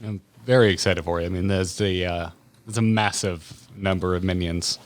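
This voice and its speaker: A man's voice: deep voice, steady tone, normal speed. A man hosting a podcast interview in casual chat, asking eager, rambling questions. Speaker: podcast host.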